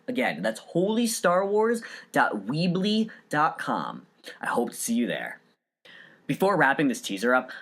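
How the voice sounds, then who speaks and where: A male podcast host reads from a script with an earnest tone. His pitch sits in the middle range for a man and moves up and down in a lively way. He enunciates carefully.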